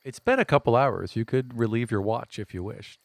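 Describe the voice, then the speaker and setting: A man's voice: medium pitch, a little fast, vocal fry, no room sound. A man narrating as game master of a tabletop role-playing game in a casual, conversational way.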